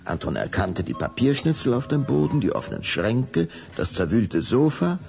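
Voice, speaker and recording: A man's voice: mid-range voice, big pitch swings, normal speed, gravelly, darkish tone, faint music behind. A man narrating a children's audio drama in a dramatic, suspenseful storytelling manner.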